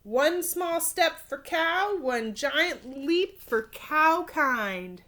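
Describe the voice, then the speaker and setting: A woman's voice: high voice, animated, very slow, bright, forceful tone, voice pushed up, full character voice. A woman voicing a headstrong, plaintive female cow in a children's storybook, speaking emphatically. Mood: proud. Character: headstrong female cow, wailing and grumbling about the moon.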